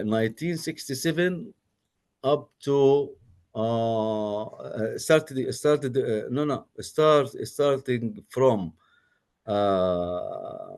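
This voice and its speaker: A man with an Arabic accent delivers an academic lecture at a middling pitch. He speaks haltingly, often pausing on fillers and restarting his phrases as he explains. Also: darkish tone. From Gaza Strip, Palestine. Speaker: guest lecturer, professor.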